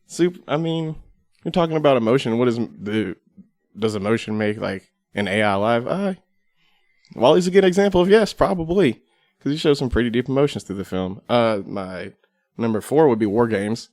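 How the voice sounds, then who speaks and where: A man's voice: mid-range voice, expressive, normal speed, a little mellow, no room sound, clean studio sound. A man speaking as a podcast guest in casual, conversational chat.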